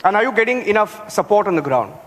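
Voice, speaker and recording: A man's voice: high-pitched, very animated, fast talker. A man from Karnataka with an Indian accent, a journalist interviewing a guest with stammering restarts. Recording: echoey hall.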